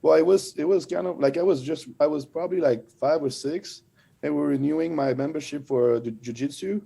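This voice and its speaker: A man's voice: fairly high pitch, a little fast, vocal fry, warm tone, husky. A man with a French accent, talking casually as an interview guest and telling stories in a conversational way. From France and French-speaking Switzerland. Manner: conversational storytelling with frequent "like".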